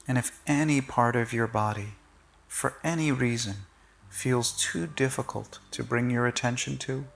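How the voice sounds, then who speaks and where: A man leading a guided body-scan meditation in short, gentle, measured phrases with long pauses. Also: medium pitch, vocal fry.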